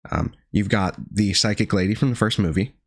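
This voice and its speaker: A man with a gravelly voice still recovering from being sick, reviewing a horror film with dry sardonic asides and frequent pauses. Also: on the low side, no echo. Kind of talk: casual video review monologue.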